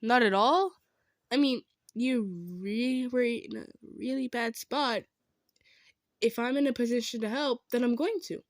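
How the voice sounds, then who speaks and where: Girl voicing a sweet modest centaur lady, gentle n kinda hesitant, close dry sound, roleplay audio. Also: on the high side, measured pace, no room sound. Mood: caring, upbeat. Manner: gentle, hesitant, stammering, earnest. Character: kind, modest, earnest female centaur traveler. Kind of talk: improvised roleplay audio drama, addressed to listener.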